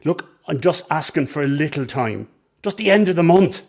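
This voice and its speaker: A man's voice: fairly high pitch, expressive, moderate pace, creaky, darkish tone. An actor playing an anxious man asking for more time on a phone call, in a radio drama. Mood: anxious, placating. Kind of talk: naturalistic radio drama acting.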